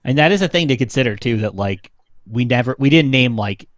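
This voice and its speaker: A man's voice: medium pitch, lively intonation, fairly quick, dry recording. Chatty young man, very dry close sound. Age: young adult to 30s. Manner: chatty, slangy, giggly. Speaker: panelist on a livestream. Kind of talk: casual chat.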